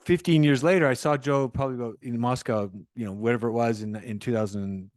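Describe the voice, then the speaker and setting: A man hosting a podcast interview, rambling and animated, often restarting his sentences. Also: mid-range voice, fast talker, dry recording, studio quality. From Canada. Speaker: podcast host. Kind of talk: conversational interview.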